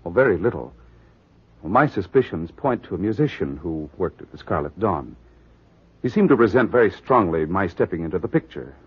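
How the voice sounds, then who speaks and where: An actor playing a suave, formal man, a detective's client in a 1940s radio drama, speaking in measured phrases. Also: low-pitched, expressive, heavily acted. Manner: measured, formal, smooth-talking. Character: suave, flirtatious, uneasy wealthy male client. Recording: muffled 1940s radio recording.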